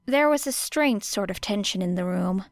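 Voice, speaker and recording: A woman reading fan fiction aloud as an audiobook, in a measured storytelling manner. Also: medium pitch, animated, dry recording, clean studio sound. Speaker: podfic narrator.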